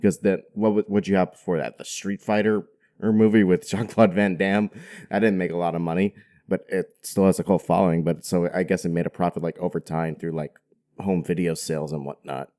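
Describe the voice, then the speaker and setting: A man's voice: low voice, steady tone, brisk, dark tone. A man chatting as a podcast guest in an informal, slangy, conversational way. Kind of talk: casual chat.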